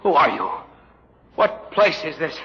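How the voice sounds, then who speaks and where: A man's voice: on the high side, big pitch swings, measured pace, creaky, bright, forceful tone, slightly rough, full character voice. An actor playing a brash, hot-headed male rebel aboard a starship in an old radio drama. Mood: wary, demanding. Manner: brash, insistent. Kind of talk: 1950s radio drama acting. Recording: muffled old radio recording.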